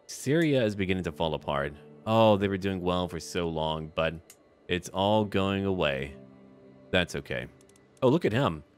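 A man giving casual, in-character commentary on a strategy game, thinking aloud in short remarks as if talking to the characters. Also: low-pitched. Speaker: gaming video host. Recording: music behind the voice. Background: background music.

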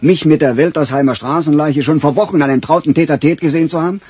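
An actor playing a weary, bitter veteran police chief in a crime radio play, dry and sardonic. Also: male voice, on the high side, fast, darkish tone, husky, dry recording.

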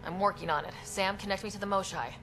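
An actress playing an earnest, determined young expedition leader in a video game, supportive and decisive. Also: female voice, mid-range voice, fast talker, close to an everyday voice. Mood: resolute. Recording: long reverb, faint music behind.

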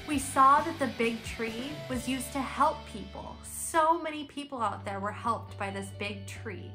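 A young woman reading and discussing a picture book for small children, in an expressive, questioning teacher's manner. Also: on the high side, measured pace. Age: young adult. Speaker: children's story-time teacher. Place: room at home, indoors. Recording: roomy echo, music underneath.

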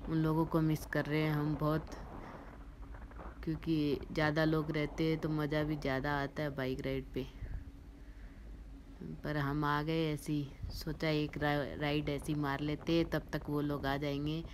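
A woman's voice: deep voice, flat delivery, measured pace, darkish tone, slight background noise. A woman in her thirties chatting cheerfully to viewers in a casual travel vlog, filming with a phone at arm's length outdoors. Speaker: vlogger. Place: outdoors on a hill road. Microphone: phone held at arm's length.

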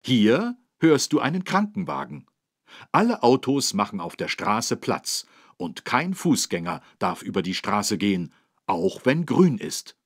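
Children's game role: helpful policeman, husky, lively intonation, teaching tone. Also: medium pitch, a little slow, full character voice. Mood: instructive. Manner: didactic, clearly phrased for small children. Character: friendly, helpful adult male policeman teaching children road safety. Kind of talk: scripted explanation for a children's game.

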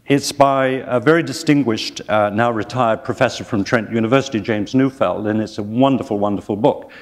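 A man's voice: lowish voice, fairly quick. Older man interviewing onstage in a hall. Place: library event hall with audience. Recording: hall with long reverb.

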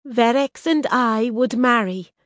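A woman voicing a proud, demanding young princess in an audiobook reading, speaking high and forcefully. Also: slow, no room sound, clean studio sound. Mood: insistent.

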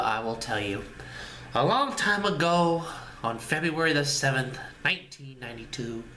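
Bright-voiced man rambling to his webcam. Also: on the high side. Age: 30s. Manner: rambling, repeats words, upbeat and goofy. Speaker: channel host. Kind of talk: casual vlog talk to camera. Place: small room indoors. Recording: echoey room, faint room noise.

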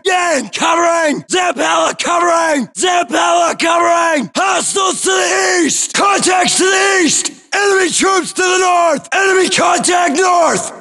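An actor playing a US Marine in a military shooter game, shouting clipped, urgent combat callouts with a gritty, strained voice. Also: male voice, extremely high pitch, heavily acted. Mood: urgent, commanding. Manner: clipped, urgent, forceful barking.

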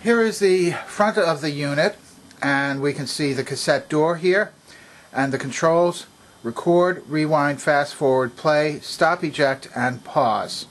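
Calm man explaining a cassette mechanism. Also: on the high side, slow pace. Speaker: vintage electronics restorer. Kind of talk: hands-on repair demonstration. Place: workbench.

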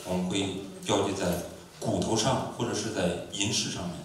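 A man from Inner Mongolia, a visiting musician, answering audience questions in a lively, joking way, in a lecture room. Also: sits low, normal speed, crowd noise. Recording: echoey room, distant mic.

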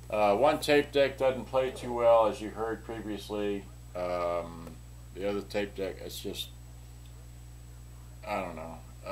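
Older man with low voice, rambling. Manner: rambling, offhand. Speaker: seller demonstrating used electronics. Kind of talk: casual product demonstration. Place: small cluttered indoor workshop. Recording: clearly noisy room, clicks of handled switches.

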